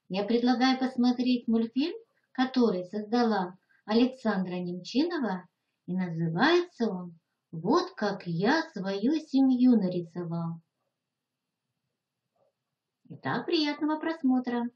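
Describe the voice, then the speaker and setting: A woman, a teacher giving step-by-step instructions in deliberate, short, simple phrases. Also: a little high, expressive, highs cut off. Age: 60s. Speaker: children's art and animation teacher. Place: indoor room, plain wall.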